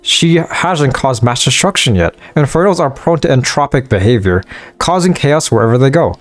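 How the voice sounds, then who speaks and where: A man reading a wise male mage's game dialogue aloud in a measured tone. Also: mid-range voice, expressive, natural voice.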